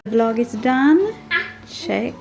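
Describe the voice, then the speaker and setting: A woman's voice: fairly high pitch, lively intonation, slow, creaky, a little mellow, slight background noise. Chatty woman vlogging at home. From Sweden. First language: Swedish. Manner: chatty, laughs often, loose fillers. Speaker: family vlogger. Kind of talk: casual vlog chat. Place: family home, indoors. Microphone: handheld camera at arm's length.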